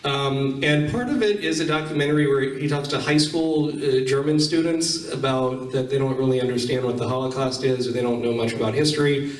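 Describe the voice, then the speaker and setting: A man's voice: mid-range voice, a little fast. A man presenting a casual convention panel, informal and joking as he takes audience questions and repeats them back. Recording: echoey hall sound, distant from mic.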